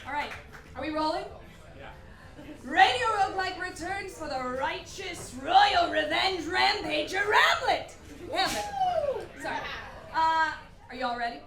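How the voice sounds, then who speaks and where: A woman's voice: very high voice, big pitch swings, measured pace, long pauses, a bit bright. A woman hosting a live show in a playful, exuberant, theatrical way, hyping up the audience. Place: tavern stage before a live audience. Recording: roomy live venue with music, crowd.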